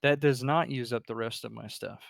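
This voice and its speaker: A man's voice: medium pitch, fairly even intonation, fairly quick, gravelly, no room sound, studio quality. A man chatting casually as a player in a tabletop game, thinking aloud and repeating his words as he works out a move.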